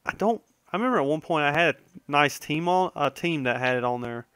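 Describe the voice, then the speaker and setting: Clear-voiced man rambling over a game. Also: on the high side, expressive, a little fast, fairly bright tone, no room sound. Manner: conversational, digressive, often trailing off and correcting himself. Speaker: gaming video host. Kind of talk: casual, rambling let's-play commentary.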